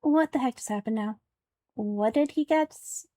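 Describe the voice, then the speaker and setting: A woman's voice: fairly high pitch, moderate pace, dark tone, dry recording, studio quality, natural voice. A woman voicing a small, childlike, curious girl companion from a video game, exclaiming and asking questions. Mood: startled.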